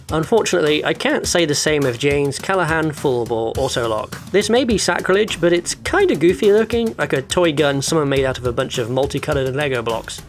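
A man delivering scripted video narration with casual asides in a wry, opinionated manner. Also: a little high. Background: background music.

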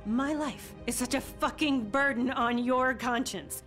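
A woman's voice: sits high, measured pace, fairly bright tone. A woman playing an anguished, guilt-ridden character in a video game, emotional, reproachful and pleading. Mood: indignant, hurt. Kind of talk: dramatic video game acting. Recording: music playing behind.

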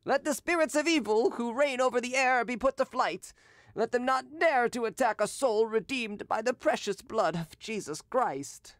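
Falsely pious murderer, man, read by a woman, hushed solemn prayer, dry close sound. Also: a little high, expressive, normal speed, no echo, pitched above the performer's own voice, natural voice. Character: scheming, falsely pious male poisoner.

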